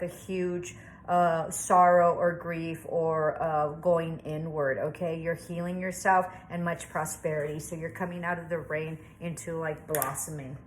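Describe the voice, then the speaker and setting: A woman's voice: lowish voice, a little hiss or hum. A woman giving a casual tarot reading to camera, chatty and reassuring, thinking aloud with plenty of fillers. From Southwestern United States. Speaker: tarot reader.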